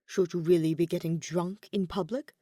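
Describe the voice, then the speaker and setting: A woman voicing a grave, sardonic young male student with a deadpan, sarcastic manner in an audiobook. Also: on the low side, moderate pace, no echo, clean studio sound, natural voice. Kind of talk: audiobook character acting.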